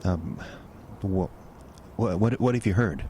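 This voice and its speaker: A man's voice: low voice, dark tone, a little hiss or hum. A man playing a nervous, spooked truck driver in an audio drama, hesitant and stammering as he talks. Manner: halting, stammering, uneasy.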